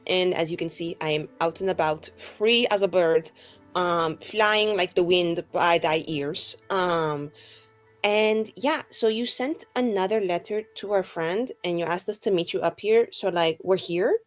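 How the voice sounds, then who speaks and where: A woman's voice: fairly low pitch, unhurried, fairly bright tone, faint music behind. A woman playing a tabletop roleplaying game, bantering casually in character with dry sarcasm.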